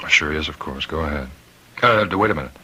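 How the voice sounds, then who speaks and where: An actor playing a middle-aged prison warden in a radio drama, authoritative and deliberate but uneasy. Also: male voice, a bass voice, animated, sharp tone, exaggerated voice. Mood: awkward, courteous. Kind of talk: old-time radio drama acting. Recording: noisy old radio recording.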